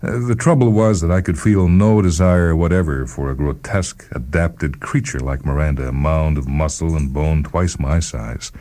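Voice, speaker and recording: A man reading a first-person short story as a measured, deliberate dramatic narrator. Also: very deep voice, warm tone.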